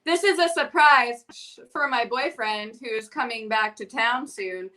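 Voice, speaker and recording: A woman's voice: a little high, a little slow, sharp tone, low-quality recording. A woman hosting a live online interview, bubbly and upbeat, laughing along with her guest. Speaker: host. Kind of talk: casual livestream interview. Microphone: video call.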